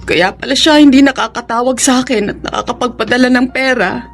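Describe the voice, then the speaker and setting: Actress as high-voiced hurt Filipino wife. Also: female voice, fairly quick, faint music behind, lightly acted. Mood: heartbroken. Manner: earnest, emotional, pleading. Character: hurt, regretful mother and wife, advising her friend. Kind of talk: Filipino radio drama acting.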